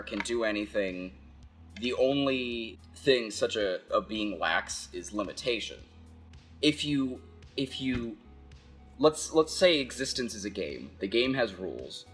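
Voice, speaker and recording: A man giving a casual philosophical monologue as a video essayist, halting and conversational as he thinks aloud. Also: medium pitch, fairly bright tone.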